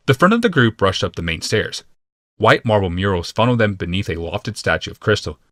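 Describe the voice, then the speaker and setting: A man's voice: deep voice, a bit bright, dry recording, studio quality. A man narrating fantasy fiction as a scripted, dramatic audiobook.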